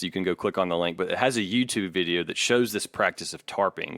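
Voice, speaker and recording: A man co-hosting a podcast, talking casually in a measured, hesitant way and sometimes reading aloud. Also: fairly low pitch, sharp tone, no echo. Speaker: podcast co-host.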